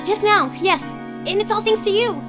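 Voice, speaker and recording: A woman's voice: very high-pitched, normal speed. A woman playing a plucky, impulsive, guileless teenage girl in a video game dub. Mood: glad. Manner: eager, indignant, guileless. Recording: clear music behind the voice.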